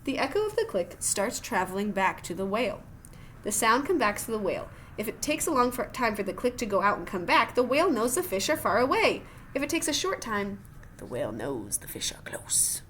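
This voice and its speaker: Animated woman reading aloud to children. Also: medium pitch, fairly quick, slight background noise. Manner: expressive, exclaiming with wonder, encouraging to listeners. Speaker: teacher reading a children's science book aloud. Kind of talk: animated read-aloud with chatty asides to children.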